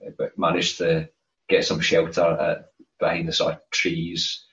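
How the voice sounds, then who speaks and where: A man's voice: deep voice, completely flat delivery, unhurried, fairly bright tone. A Scottish man co-hosting a podcast, chatting casually and rambling, often repeating words as he starts a thought. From Scotland. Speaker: podcast co-host. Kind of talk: casual chat. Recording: roomy dull lo-fi sound.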